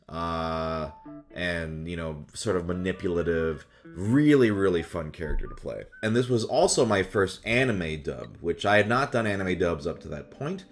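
A young adult man, a voice actor, chatting casually to camera in an animated way at home. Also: sits low. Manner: animated, slips into exaggerated character voices. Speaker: voice actor and video host. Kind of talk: casual chat to camera about voice roles. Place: small furnished room at home, indoors.

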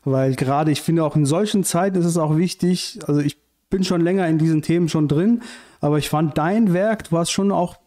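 A man from Iran in his thirties, a podcast guest telling his story in hesitant casual chat full of fillers, on a boom mic in a bright living room. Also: fairly quick. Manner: hesitant, many fillers and restarts. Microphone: studio mic on boom arm, headphones.

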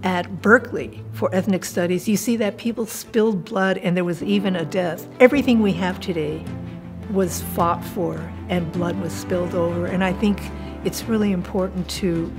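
An older woman with an American accent, speaking earnestly and in a measured way in a documentary interview. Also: lowish voice. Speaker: community activist interviewee. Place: indoor room, seated filmed interview. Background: music.

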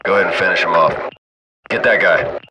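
Deep-voiced male gunship crewman for shooter game, actor performance: casual, cocky, slangy radio chatter, very loud cabin noise. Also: brisk, distant mic, close to an everyday voice. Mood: cool, focused. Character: laid-back, cocky adult male military gunship crewman.

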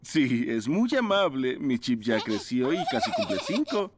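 Very animated pug dad. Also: male voice, mid-range voice, unhurried, noisy background. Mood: proud, fond. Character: fond, jolly pug dad police officer. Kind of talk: dubbed children's cartoon acting.

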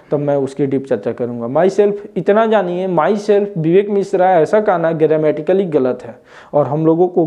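A man's voice: fairly high pitch, mellow, muffled recording. A man, teaching English at a whiteboard, recorded on a lapel mic in an indoor room, often asking viewers questions as he explains. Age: 20s. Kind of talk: whiteboard lesson.

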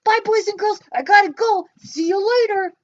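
A woman voicing Joe, a cheerful young boy puppet who talks in an eager, excited way. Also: very high-pitched, normal speed, lo-fi sound, voice pushed up.

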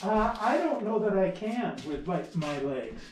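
An older man in a home kitchen talking casually with his daughter, answering in a hesitant, low-key way. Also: sing-song, a little slow, darkish tone, slight background noise. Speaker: visiting father. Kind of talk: casual chat. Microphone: far camera. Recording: echoey kitchen from far off.

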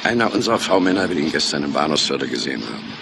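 An actor in a radio crime play voicing a gruff, sardonic, authoritative senior detective who doubts what he is told. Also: extremely low pitch, sing-song, rapid-fire, background noise, heavily acted. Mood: gruff, matter-of-fact. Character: gruff, sceptical senior police detective, defensive of the force. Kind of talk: German radio play dialogue.

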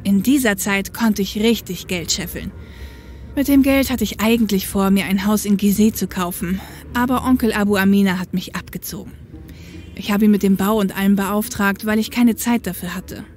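A woman's voice: mid-range voice, moderate pace, darkish tone, soft background music, close to an everyday voice. A woman playing a tough, upright, street-wise policewoman in an audio drama, speaking matter-of-factly. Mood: reminiscing. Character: tough, upright working-class policewoman and ex-biker, grown woman. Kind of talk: German audio drama acting.

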